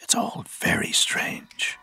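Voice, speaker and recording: A man giving scripted storytelling narration as a host, measured, with dramatic pauses. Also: bright, forceful tone, dry recording, in a whisper, faint music behind.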